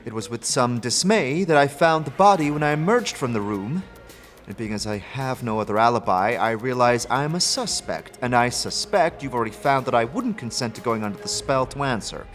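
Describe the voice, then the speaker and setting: A man's voice: mid-range voice, lightly acted. Man voicing an aloof, curt woman engineer. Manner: dry, curt, dismissive. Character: brisk, aloof, secretive female magical engineer. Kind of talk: fantasy audio drama acting. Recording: long reverb, music behind.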